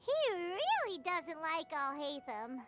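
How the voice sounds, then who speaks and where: A woman's voice: very high-pitched, sing-song, takes it slowly, a bit bright, full character voice. A woman voicing a tiny, chirpy, childlike female fairy companion in a video game. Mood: surprised, chatty. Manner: chirpy, refers to self in third person. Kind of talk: English video game voice acting.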